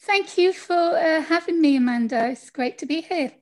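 Chatty high-voiced British woman. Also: moderate pace. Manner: chatty, laughs often, acts out quoted people. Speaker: podcast guest. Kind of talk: storytelling in a casual interview.

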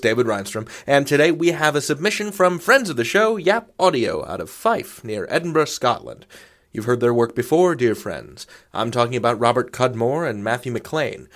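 A man hosting an audio drama podcast, delivering a scripted introduction with theatrical, suspenseful phrasing. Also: medium pitch. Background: music.

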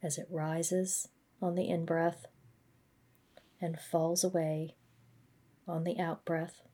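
Husky, low-voiced woman guiding meditation. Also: little pitch movement. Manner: gentle, measured, instructional. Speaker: mindfulness practice guide. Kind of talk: guided body scan meditation narration.

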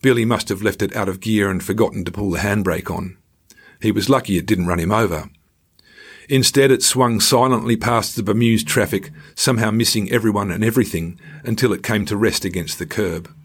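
A man reading his own scripted travel memoir in a measured storytelling manner with dry irony. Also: on the low side, slightly rough.

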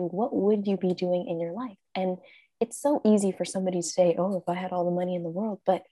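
Husky, mellow-voiced woman. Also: mid-range voice, dry recording. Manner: animated, acts out her own quoted thoughts, frequent laughs. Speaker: life coach, interview guest. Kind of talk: casual interview talk.

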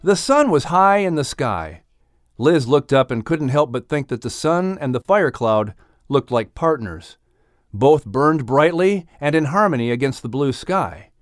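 A man reads a children's story with very lively intonation. His mid-pitched voice is recorded close and dry, with faint music behind it. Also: a little slow, no room sound. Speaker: audiobook narrator. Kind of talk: animated scripted children's story narration.

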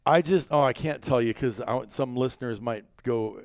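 A man's voice: mid-range voice, moderate pace, darkish tone, no room sound. An American man from rural Iowa hosting a casual podcast, telling stories in a rambling, emphatic way. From rural Iowa, United States. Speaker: podcast host and company founder.

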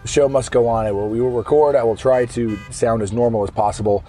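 A hoarse man hosting a fitness podcast from his car in traffic, explaining things casually and often correcting himself mid-sentence. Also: mid-range voice, steady tone, brisk, mellow, soft background music. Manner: conversational, explaining step by step with frequent self-corrections. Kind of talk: casual solo podcast monologue. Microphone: podcast microphone.

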